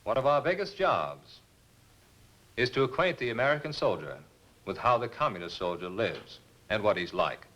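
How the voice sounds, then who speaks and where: A man's voice: lowish voice, very animated, moderate pace, a bit bright. A man, an army colonel, answering questions in a formal, assured manner in a scripted interview.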